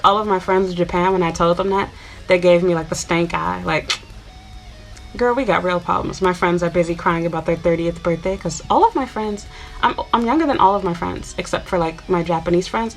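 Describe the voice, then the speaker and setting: A woman's voice: lowish voice, low-quality recording. A woman in her twenties from Chicago, talking casually to her viewers in a rambling, chatty way with lots of fillers. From Chicago, USA. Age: 20s. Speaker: YouTuber. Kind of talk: casual talk to camera.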